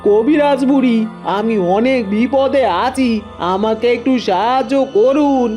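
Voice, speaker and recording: A woman's voice: high-pitched, measured pace, dark tone, faint music behind. A woman voicing a scheming, bossy older mother-in-law in a village cartoon, with a scolding, imperious manner. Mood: pleading. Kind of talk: cartoon fairy-tale voice acting.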